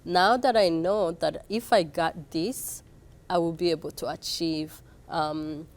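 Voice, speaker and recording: A low-pitched woman from Rwanda speaks as a guest in an interview. She talks in a halting, thoughtful way, full of fillers and restarts as she explains her program. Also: fairly even intonation. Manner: hesitant, many fillers and restarts. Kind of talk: casual interview answers.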